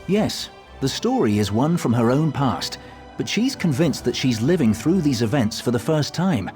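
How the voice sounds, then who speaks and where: An actor voicing a whimsical, coy gatekeeper who tells stories theatrically in a fantasy game. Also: male voice, medium pitch, sing-song, moderate pace, a little mellow, soft background music, lightly acted. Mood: playfully instructive. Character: whimsical, courteous-demanding male gatekeeper and storyteller. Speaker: storytelling gatekeeper.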